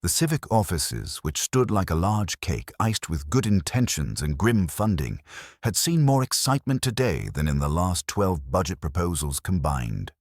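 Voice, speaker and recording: A man's voice: sits low, sing-song, moderate pace, no room sound, clean studio sound. A man reading scripted narration of a detective story as an audiobook narrator.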